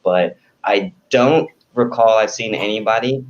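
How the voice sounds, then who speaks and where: A man's voice: on the low side, a little slow. A man who does stand-up, chatting casually over dull, faintly noisy audio. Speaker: newer stand-up comedian. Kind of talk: casual discussion. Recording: dull lo-fi sound with faint noise.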